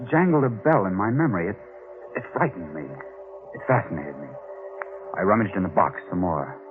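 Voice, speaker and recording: An actor playing a haunted, obsessive newspaper reporter in an old-time radio mystery, brooding, halting and intense in his delivery. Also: low-pitched. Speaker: narrator and lead character. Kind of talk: old-time radio mystery acting, dramatic first-person narration. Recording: muffled vintage radio recording with music.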